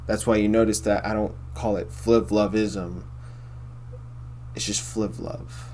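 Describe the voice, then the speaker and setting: A man with a baritone voice hosting a casual philosophy monologue, rambling and repeating himself with many fillers. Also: fairly even intonation, unhurried, dark tone, a little hiss or hum. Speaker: host.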